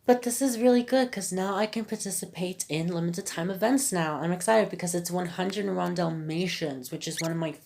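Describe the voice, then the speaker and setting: A woman's voice: lowish voice, fairly quick, vocal fry, few pauses, a little mellow. A woman who is sick and has a phlegmy throat, chatting casually while she plays a mobile game and apologizing for how her voice sounds. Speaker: gaming YouTuber.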